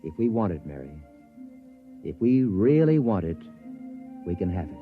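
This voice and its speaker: Earnest, expressive humane professor. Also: male voice, deep voice, normal speed, soft background music. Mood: solemn, tender. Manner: earnest, impassioned. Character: earnest, humane male professor pleading for peace. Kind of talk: 1950s radio drama acting. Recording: dull old radio recording.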